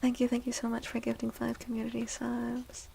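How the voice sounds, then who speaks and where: Female ASMR streamer, soothing and whispery, with creaky edges and a mellow tone, close-miked over faint noise. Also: a little high, completely flat delivery. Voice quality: breathy, often whispery. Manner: gentle, soothing, unhurried. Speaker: livestreamer reading tarot for viewers. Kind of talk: soft-spoken ASMR.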